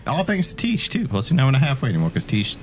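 A man bantering casually as he comments on a friend's video game, joking and swearing freely in an excitable way. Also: mid-range voice, fast, slight background noise. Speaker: co-host commenting on a friend's gameplay. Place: indoor room, webcam setup beside another player. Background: game music.

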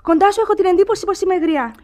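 An actress playing a melancholy, worldly young woman in measured, wistful Greek radio theatre style. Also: very high-pitched, darkish tone.

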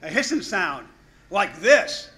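A man playing a folksy, slow-witted, rambling farmer in an old radio drama, hesitant and rustic. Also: high-pitched, big pitch swings, bright, forceful tone, exaggerated voice. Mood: earnest recounting. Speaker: actor in a radio drama recreation. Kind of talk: 1930s radio acting.

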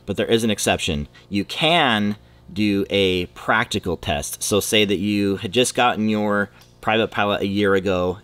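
A man in his thirties, a flight instructor, explaining rules to camera in a relaxed, conversational way from a hangar. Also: low-pitched. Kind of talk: casual instructional talk to camera. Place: aircraft hangar, indoors. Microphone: close camera, seated at desk.

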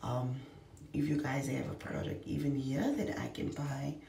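A woman's voice: very deep voice, flat delivery, moderate pace, vocal fry, warm tone, reverberant, recorded from a distance, slight background noise. A woman, chatting in a rambling way with many fillers to a camera in her small apartment. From South Africa. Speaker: vlogger. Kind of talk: casual vlog talk to camera. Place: small basement apartment, indoors. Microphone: camera on a table at close range.

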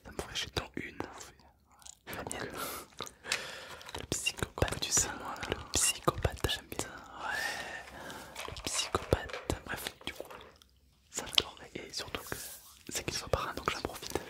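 A man in his twenties whispering breathily in a Halloween ASMR roleplay, halting and rambling as he plays a gruesome, gleeful brain-eating zombie. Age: 20s.

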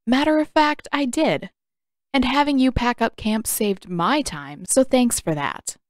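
A woman's voice: on the high side, a little slow, dry recording, studio quality, voice pushed up, close to an everyday voice. A woman voicing a sardonic, brash young female bounty hunter, mocking and flippant, in an audiobook. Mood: smug. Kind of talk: audiobook character voice.

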